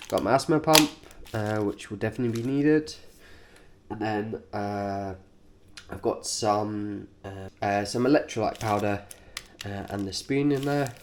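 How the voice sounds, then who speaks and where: A man with a nasal voice, congested from a cold, talking through his cycling kit informally and haltingly, in short offhand phrases. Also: lowish voice, background noise. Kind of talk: informal vlog, kit walkthrough.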